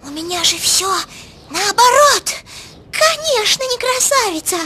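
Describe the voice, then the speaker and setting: A woman's voice: extremely high pitch, very animated, measured pace, pushed and bright, a little hiss or hum, heavily acted. A woman playing a vain, easily hurt young piglet girl in a cartoon, theatrical and whiny. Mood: tearful self-pity. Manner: theatrical, whiny self-pitying. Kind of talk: cartoon voice acting.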